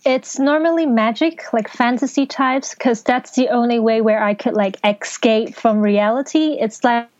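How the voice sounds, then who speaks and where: A woman from Hong Kong with a Hong Kong accent, Cantonese native, speaking as an interview guest, soft-spoken and hesitant with fillers. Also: a little high, moderate pace, hardly any pauses, lo-fi sound. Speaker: guest, author and teacher. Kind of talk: casual interview.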